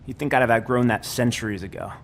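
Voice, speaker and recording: A man's voice: medium pitch, quick pace, slight background noise, lightly acted. An actor playing a meek, dutiful doorman in a video game, polite, hesitant and pleading. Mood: polite, nervous. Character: meek, earnest, dutiful little male doorman.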